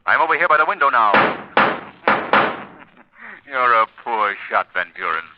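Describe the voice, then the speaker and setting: A man's voice: medium pitch, very animated, measured pace, very noisy. An actor playing an unseen crime-fighting man, taunting and commanding, in 1930s radio drama style. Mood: taunting, urgent. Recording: muffled vintage 1930s radio recording.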